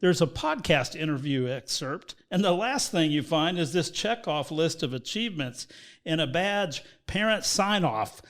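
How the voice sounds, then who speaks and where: High, lively interviewing man. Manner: eager, chimes in with quick agreement. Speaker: podcast host. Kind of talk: casual interview chat.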